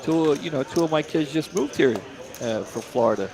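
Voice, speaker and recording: A man's voice: a little high, expressive, moderate pace, a little mellow. A middle-aged man from Delaware doing casual poker commentary, chatty and repeating himself as he guesses at hands. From Delaware, USA.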